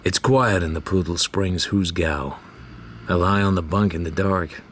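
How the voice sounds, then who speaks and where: A hardboiled private eye in a radio detective drama. His voice is on the low side, dry and sardonic, with clipped world-weary wit. A little noise sits behind him. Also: measured pace, a bit bright. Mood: brooding. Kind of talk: hardboiled first-person radio drama narration and dialogue.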